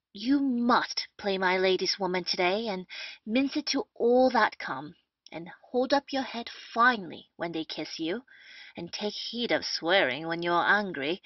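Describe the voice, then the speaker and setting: A woman's voice: medium pitch, expressive, measured pace, no room sound. A woman playing a bustling, boastful, talkative male captain in an audio play of a period comedy. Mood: brisk, bossy. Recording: dull, lo-fi, dry audio.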